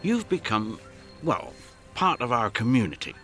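An actor playing a kindly, earnest Puritan reverend in a radio drama, hesitant and gently preachy. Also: male voice, mid-range voice, big pitch swings, unhurried, exaggerated voice. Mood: careful, kindly. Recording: faint music behind. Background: faint music.

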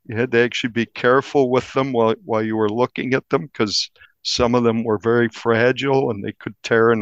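A man's voice on a dull, dry recording, at an average pitch. He talks as a reporter being interviewed, telling a story conversationally. His delivery is measured and descriptive. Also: no echo. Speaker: newspaper reporter, interview guest. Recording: dull, band-limited audio.

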